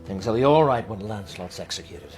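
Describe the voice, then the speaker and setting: A man's voice: fairly low pitch, lively intonation, quick pace, a little mellow, faint music behind, close to an everyday voice. An actor playing a loyal, cautious male warrior, earnest and firm, in a radio drama. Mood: loyal, protesting.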